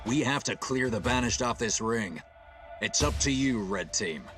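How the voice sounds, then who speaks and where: Casting: male actor as grave, resolute captain in a military game, authoritative orders, mid-pitched, under loud music. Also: animated, unhurried, natural voice. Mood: grave command. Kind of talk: video game military acting.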